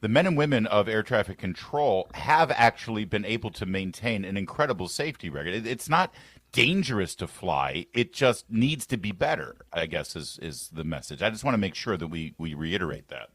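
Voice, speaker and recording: Low-voiced man, dry studio sound. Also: expressive, fairly quick, a bit bright, no room sound. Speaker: radio show host. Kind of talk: conversational news talk interview.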